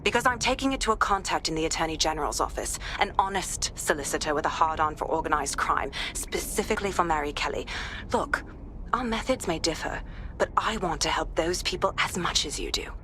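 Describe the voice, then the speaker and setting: Low-voiced, resolute woman police inspector. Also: brisk, sharp tone, slight background noise. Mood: defensive, earnest. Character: resolute, hard-bitten female police inspector. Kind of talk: game cutscene briefing.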